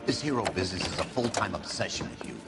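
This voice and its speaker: An actor playing a grumpy, cynical veteran detective in a video game, dismissive and world-weary. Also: male voice, sits low, lively intonation, quick pace, loud music behind the voice. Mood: cynical, irritated. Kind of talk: dramatic video game acting.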